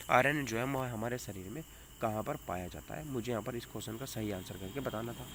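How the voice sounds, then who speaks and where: A man's voice: medium pitch, a bit bright. North Indian male teacher, noisy recording. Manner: repeats questions and answers, emphatic, rhetorical questioning. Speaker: exam-prep teacher. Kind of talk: question-by-question exam coaching lesson. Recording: noticeable background noise.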